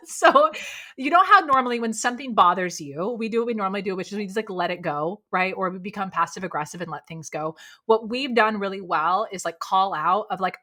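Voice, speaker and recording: Bright, animated woman talking business. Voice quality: low voice. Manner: animated, quick, peppered with "like". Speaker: podcast guest, speaking coach. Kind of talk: candid conversational interview.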